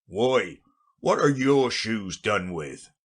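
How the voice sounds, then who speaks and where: A man voicing a solemn, impatient male gryphon, speaking slowly in a deep, husky voice. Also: lively intonation, exaggerated voice. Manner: slow and solemn, turning impatient.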